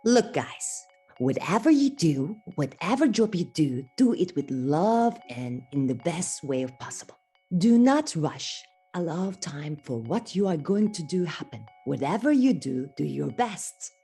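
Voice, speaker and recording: A wise, caring mother pig from a storybook, as a woman puts her on, earnest and instructive. The voice lifts higher and swings widely, slightly breathy, with faint music behind. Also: sing-song, measured pace, warm tone, heavily acted.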